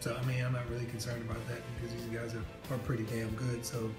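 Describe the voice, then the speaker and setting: A man's voice: medium pitch, fast talker. Laid-back male developer, jokes easily, echoey room, music underneath. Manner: relaxed, loose, jokey. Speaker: game developer. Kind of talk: casual interview chat.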